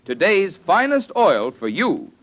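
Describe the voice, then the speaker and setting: High-pitched, animated vintage radio ad man. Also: a little slow. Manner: salesmanlike, emphatic. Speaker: radio announcer. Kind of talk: 1940s radio commercial announcing. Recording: muffled vintage radio recording.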